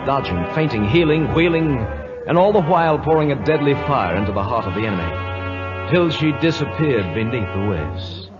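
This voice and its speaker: A man's voice: medium pitch, lively intonation, normal speed, natural voice. A loyal ship's officer, acted by a man, earnest and respectful, with the air of an admiring storyteller. His intonation moves widely, in old radio drama style. The sound is muffled, with prominent music. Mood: admiring, dramatic. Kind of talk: old-time radio drama narration and acting. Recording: dull old radio recording, loud music.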